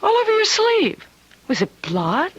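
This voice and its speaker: Worried wife in old radio play, high bright voice, slow drawn-out pleading delivery. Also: female voice, huge pitch swings, highs cut off, heavily acted. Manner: emotional, halting, drawn-out delivery. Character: anxious devoted wife, fraught and pleading. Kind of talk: old-time radio drama acting.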